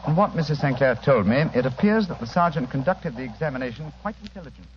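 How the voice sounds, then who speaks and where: An actor playing a composed, authoritative gentleman detective, setting out facts precisely in an old radio drama. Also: medium pitch, animated, fast, lightly acted. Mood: composed, expository. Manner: precise, theatrical narrating. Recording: muffled, noisy old radio recording.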